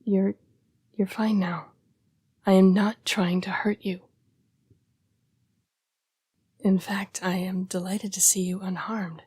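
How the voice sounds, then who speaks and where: A woman playing a young-seeming, formal and apologetic female vampire in an intimate audio roleplay, breathy and often dropping to a whisper. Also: mid-range voice, flat delivery, darkish tone, no echo. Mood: urgent, hushed. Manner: formal, polite, measured phrasing. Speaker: vampire council's correspondence assistant.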